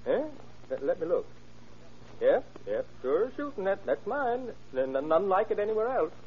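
An actor in an old-time radio drama playing an excitable, folksy old gun tinkerer who stammers in rustic phrases. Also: male voice, sits high, moderate pace. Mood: folksy, proud. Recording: noisy, narrow-band old radio recording.

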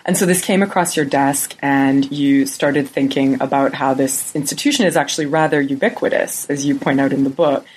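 A woman hosts an academic book podcast in a low, slightly husky voice. She reads her introduction smoothly from a script, then asks her questions in a relaxed, conversational way. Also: moderate pace, hardly any pauses, rough recording. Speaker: podcast co-host. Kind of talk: podcast interview.

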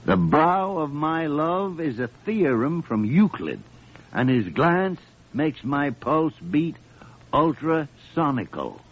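An actor playing a gruff, crotchety old American senior doctor in a 1940s radio drama, muttering and theatrical. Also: male voice, a little high, sing-song, slow, heavily acted. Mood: sardonic. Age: older. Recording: muffled, noisy old radio recording.

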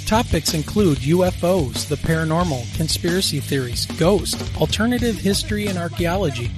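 A man co-hosting a podcast, chatty and upbeat in casual talk, quick to laugh. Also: fairly high pitch, lively intonation, music in the background. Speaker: podcast co-host.

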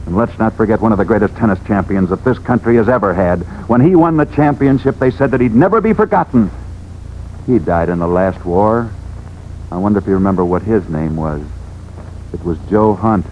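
An American man telling sports stories as a 1940s radio host, emphatic and theatrical, building up through repetition. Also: lowish voice. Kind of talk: 1940s dramatic radio narration. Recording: dull, noisy 1940s radio recording.

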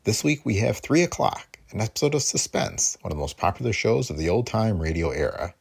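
A man with a husky, gravelly voice reading a scripted podcast introduction as its host. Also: on the low side, sing-song, a little fast, a little mellow.

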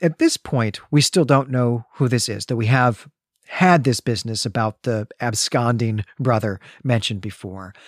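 A man's voice: mid-range voice, no room sound. Man, mid-range voice, podcast host, analytical discussion, careful explanatory phrasing, dry close recording.

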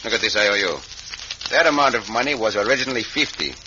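An actor playing a gallant, self-assured Mexican adventurer in an old radio western, with courtly phrasing sprinkled with Spanish. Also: male voice, on the low side, animated, fast, close to an everyday voice. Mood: urgent, accusing. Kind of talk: 1940s-50s radio western acting. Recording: noisy muffled old radio recording.

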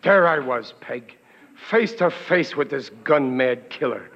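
A bright, swooping male voice as a boastful working-class husband in an old radio comedy. He blusters ungrammatically and stammers when flustered. The recording is dull and a little noisy. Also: mid-range voice, animated, a little slow, creaky, full character voice. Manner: bragging, ungrammatical, comically exasperated. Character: blustering, excitable, boastful working-class family man, easily frightened. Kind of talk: 1940s radio comedy acting.

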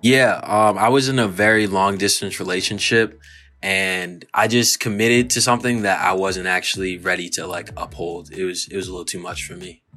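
Chill guy in his 20s, real deep voice but kinda bright, trails off quiet. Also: steady tone, moderate pace, soft background music. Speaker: contestant. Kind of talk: casual chat.

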